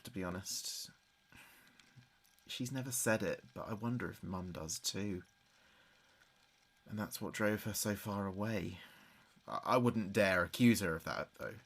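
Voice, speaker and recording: A man's voice: sits low, husky, exaggerated voice. An actor playing a grieving adult son in an audio drama, speaking hesitantly and confidingly as if taping messages for his dead father. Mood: wistful, troubled. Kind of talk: audio drama monologue as a taped diary.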